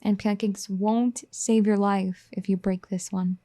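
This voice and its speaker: A woman voicing a caring, firm young wife in an ASMR roleplay, soft-spoken and gently scolding. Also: medium pitch, flat delivery, unhurried, lightly acted. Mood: teasing tender.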